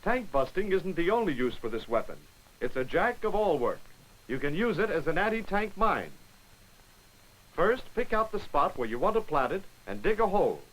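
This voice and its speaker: A man giving brisk, wry scripted narration for a 1940s military training film, on an old film soundtrack. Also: fairly high pitch, very animated, a bit bright, rough recording.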